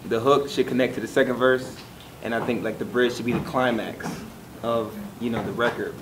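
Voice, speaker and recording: Halting man explaining over background noise. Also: medium pitch, steady tone. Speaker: panelist, songwriter. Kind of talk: casual explanation on a panel.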